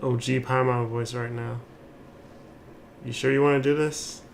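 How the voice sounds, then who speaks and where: A man's voice: medium pitch, flat delivery, unhurried, vocal fry, a little hiss or hum. A man doing casual, joking live commentary as he streams a video game. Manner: informal, joking. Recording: game ambience behind the voice. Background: video game sound.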